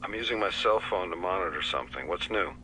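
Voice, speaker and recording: A man's voice: low-pitched, expressive, quick pace, sharp tone, a little hiss or hum, poor audio. An actor playing a wry, dogged male FBI investigator in an audio drama, with a dry, wisecracking manner. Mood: focused.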